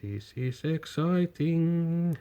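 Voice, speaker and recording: A Finnish man with a Finnish accent, whose first language is Finnish, hosting a casual toy unboxing in halting English and often repeating words as he searches for them. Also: a little high, expressive, dark tone, slight background noise. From Finland.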